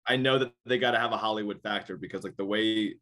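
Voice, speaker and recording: A man in his thirties with a low voice, talking as a guest on a video call from a small room. He rambles and restarts often in a loose, casual flow. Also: fairly even intonation, fast talker, sharp tone. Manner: rambling, with many restarts and fillers. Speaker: podcast guest. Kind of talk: casual interview chat.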